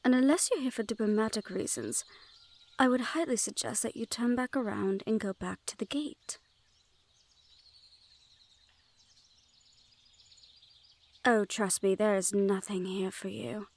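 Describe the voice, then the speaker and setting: A woman's voice: medium pitch, moderate pace, a little mellow, lightly acted. A woman playing a wary, teasing Fae border guard in a fantasy audio roleplay, with a playful, sardonic and haughty manner. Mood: stern, warning.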